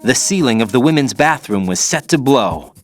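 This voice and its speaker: A man's voice: medium pitch, expressive, moderate pace, lightly acted. An actor playing an earnest young male rookie operative who asks lots of questions. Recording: game cutscene with music.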